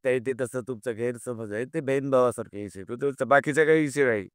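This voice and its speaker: A man with a smooth, clear voice talks on a recorded phone call with a dry sound. He mediates informally, placating the other person and repeating himself without committing to either side. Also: mid-range voice, fast talker, no room sound. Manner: placating, noncommittal, repetitive. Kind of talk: informal private phone conversation.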